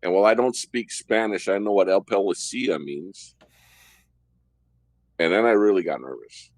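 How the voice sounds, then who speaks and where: A man hosting a podcast, telling a detailed story about his undercover work in a steady way. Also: sits low, moderate pace, no echo, studio quality. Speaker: host, former undercover officer.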